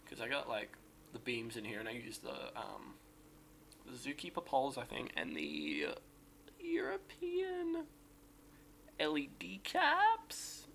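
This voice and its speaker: A man with a sore, hoarse voice talks through his game build in a loose, rambling way and often stops to correct himself. His gravelly rasp sits at a middle pitch. A faint hiss runs underneath. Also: wildly swooping pitch, a little slow, a bit bright. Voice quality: raspy, gravelly. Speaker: game streamer. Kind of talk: casual gameplay commentary.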